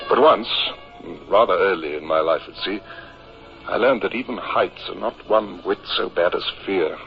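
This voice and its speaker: A man's voice: sits low, normal speed, gravelly. An actor playing a reflective naval officer narrating memories of his youth in a 1950s radio drama. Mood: grave, reflective. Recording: muffled old radio recording with music.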